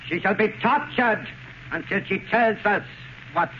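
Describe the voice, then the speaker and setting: An actor playing a menacing, grandiose cult prophet from India in a theatrical, commanding 1930s radio drama style. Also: male voice, high voice, measured pace. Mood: sinister command. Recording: muffled, noisy 1930s radio recording.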